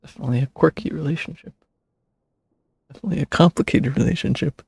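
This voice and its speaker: A woman with a husky voice giving casual, offhand commentary as a streamer reacting to a game. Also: low voice, fast talker, darkish tone.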